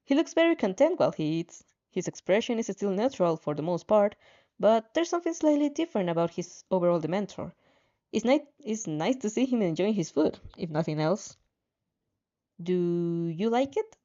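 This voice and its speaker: Expressive, mellow woman narrating fan fiction. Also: mid-range voice, fairly quick, highs cut off. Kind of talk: expressive story reading with casual reactions.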